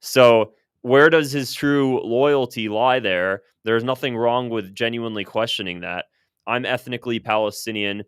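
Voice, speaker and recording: Emphatic American man, clear and close-miked. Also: mid-range voice, measured pace, fairly bright tone, dry recording. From United States. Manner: emphatic, insistent, repeats phrases for stress. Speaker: political commentator. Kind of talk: unscripted opinionated political commentary.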